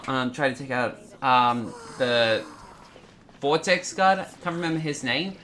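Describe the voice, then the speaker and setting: A man hosting a casual game playthrough, talking in a halting way and often repeating his words. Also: mid-range voice, sharp tone, a little hiss or hum. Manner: halting, repetitive phrasing. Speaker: gaming video host. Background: game music.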